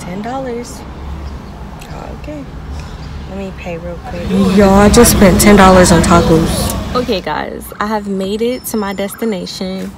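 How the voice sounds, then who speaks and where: A woman's voice: medium pitch, measured pace. A young woman chatting to viewers from inside her car, recorded on a phone camera on the dashboard. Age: young adult. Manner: chatty, playful, talks straight to viewers. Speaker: vlogger. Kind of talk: casual car vlog chat. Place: inside a parked car, outdoors traffic around. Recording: car interior with clear road noise.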